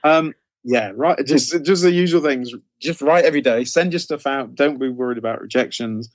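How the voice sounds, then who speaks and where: A man's voice: medium pitch, normal speed, no echo. A middle-aged man chatting casually as an interview guest, informal and often hesitantly rephrasing himself. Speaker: guest author interviewed about writing career.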